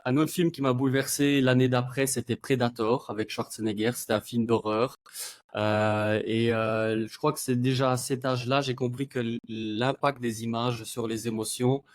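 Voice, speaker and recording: A man's voice: mid-range voice, fairly even intonation, normal speed, no echo. Man in his 30s, German-native Belgian guest, rambling and hesitant, dry close sound. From German-speaking Belgium. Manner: rambling, many hesitations, laughs often. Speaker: podcast guest, video creator. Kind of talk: casual interview chat.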